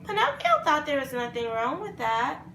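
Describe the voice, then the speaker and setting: A woman storyteller with a high, bright voice retells a children's fairy tale. She is expressive and dramatic but takes her time. The room echoes around her, with faint noise behind. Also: normal speed. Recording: roomy echo, faint background noise.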